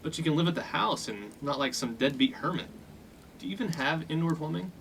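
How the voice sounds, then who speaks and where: A man's voice: a little high, lively intonation, a little fast, vocal fry, a bit bright, slight background noise, low-quality recording. A man playing a cocky, blunt young fraternity upperclassman with a chip on his shoulder in a comic radio play. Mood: boastful. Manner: blunt, slangy. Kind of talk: 1950s-set comic radio play acting.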